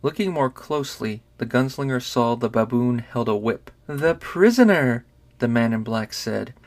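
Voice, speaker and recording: A male narrator reads fiction aloud. His pitch is average for a man, and the delivery is scripted, dramatic audiobook storytelling. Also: steady tone, moderate pace. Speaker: narrator, fan reader.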